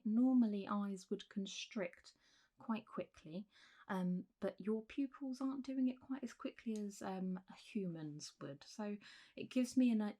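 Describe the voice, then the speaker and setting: A woman playing a calm, reassuring doctor in a soft-spoken ASMR roleplay, gently drifting in and out of whispers. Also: mid-range voice, lightly acted. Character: calm, reassuring female clinic doctor for zombie patients. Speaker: ASMR performer.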